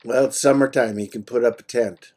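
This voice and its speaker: A man's voice: mid-range voice, fairly even intonation, brisk, darkish tone. A man with an American accent chatting casually on a phone call in a laid-back, matter-of-fact way, in short plain sentences. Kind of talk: casual personal phone chat.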